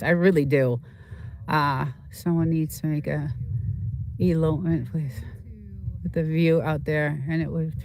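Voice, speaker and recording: A woman's voice: deep voice, a little mellow. A woman host chatting casually and informally on a livestream, rambling and often repeating herself as she talks to viewers.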